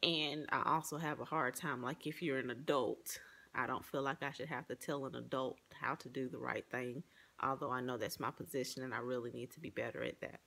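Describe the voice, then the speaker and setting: A woman's voice: very deep voice, fairly even intonation, a little fast, gravelly, fairly bright tone. A woman giving an earnest, explanatory personal presentation, partly reading from notes.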